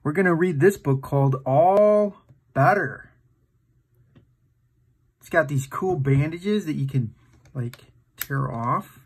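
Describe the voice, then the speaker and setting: A man's voice: on the high side, slow, pauses often, breathy edge. A man hosting a children's storytime, reading a picture book aloud in a playful, exaggerated way and putting questions to the listener.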